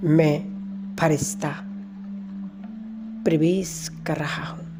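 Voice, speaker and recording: Female meditation guide, calm and soft, very unhurried pacing over faint music. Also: deep voice. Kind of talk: slow guided meditation commentary. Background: background music.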